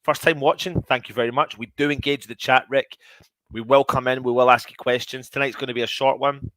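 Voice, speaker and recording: A man's voice: medium pitch, fairly even intonation, a little fast, fairly bright tone, no echo, rough recording. A man with a mild sniffly cold hosting a live stream, talking casually and directly to his viewers in an informal, chatty way. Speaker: host of a daily football fan channel show.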